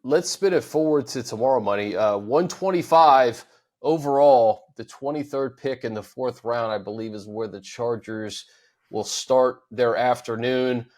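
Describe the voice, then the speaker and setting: A man's voice: medium pitch, measured pace, a little mellow. A man hosting a casual football talk show, easygoing and conversational as he prompts his co-host.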